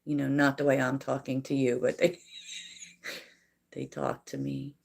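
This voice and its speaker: A woman hosting a tarot livestream, chatting softly and reflectively with viewers and laughing often. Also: a bass voice, little pitch movement, unhurried, gravelly, very noisy. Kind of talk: casual livestream chat answering viewers.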